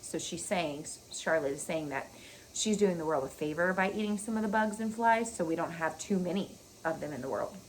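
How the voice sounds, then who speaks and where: A woman teacher reading a children's story aloud expressively, pausing to explain things to young listeners. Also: on the low side, slight background noise, low-quality recording.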